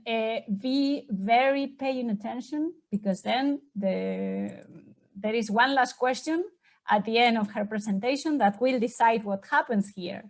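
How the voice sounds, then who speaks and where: High-voiced woman hosting a kids' quiz. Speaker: moderator of an online school talk. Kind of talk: lively quiz hosting for children.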